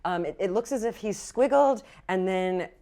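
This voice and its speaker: A woman running a tabletop game as game master, improvising the narration in a halting, thinking-aloud way. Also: medium pitch, lively intonation, dark tone.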